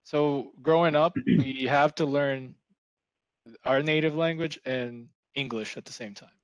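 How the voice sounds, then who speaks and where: Young man, Tagalog native speaker, soft-spoken, headset mic, dry close sound, mid pitch. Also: unhurried, no room sound, poor audio. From Philippines. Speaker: support engineer guest. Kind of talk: casual video call chat.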